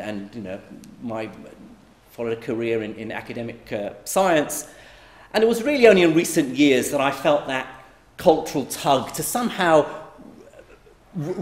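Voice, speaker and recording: A middle-aged man from Iraq giving a conversational public lecture, with frequent fillers and restarts. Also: medium pitch. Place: lecture hall. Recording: echoey lecture hall.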